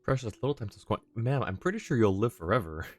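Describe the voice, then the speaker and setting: A man's voice: lowish voice, big pitch swings, fast, soft background music. A man giving casual, wry livestream commentary on a game in an informal way. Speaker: game streamer.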